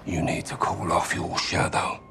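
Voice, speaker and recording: An actor playing a gruff, stern and resolute special forces soldier in a military game cutscene. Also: male voice, low-pitched, huge pitch swings, moderate pace, noisy background, heavily acted. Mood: grim, confrontational. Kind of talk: cinematic game cutscene acting.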